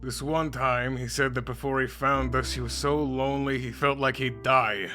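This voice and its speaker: A man's voice: medium pitch, fairly even intonation, moderate pace, pushed and bright, soft background music, close to an everyday voice. A man playing a laid-back, wry skeleton with a casual, dry, needling way of talking in a fan comic dub. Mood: grave, blunt.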